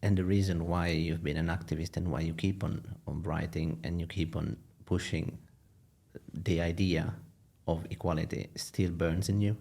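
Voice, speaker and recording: Deep gravelly-voiced man hosting. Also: level tone. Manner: soft short backchannels, halting questions. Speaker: podcast host. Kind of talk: podcast interviewing.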